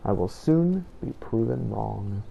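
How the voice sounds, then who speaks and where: Low, creaky-voiced male game narrator. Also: animated, slow, highs cut off, a little hiss or hum. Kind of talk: scripted first-person story narration.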